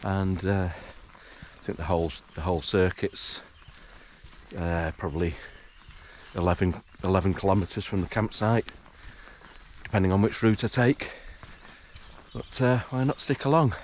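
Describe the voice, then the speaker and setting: Croaky-voiced man on a hike. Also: deep voice, measured pace, a little hiss or hum. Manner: relaxed, hesitant with many fillers. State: croaky, just woken up. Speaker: hiking vlogger. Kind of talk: casual walking commentary. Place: outdoors in countryside on a walk. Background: rural outdoor sounds, animals.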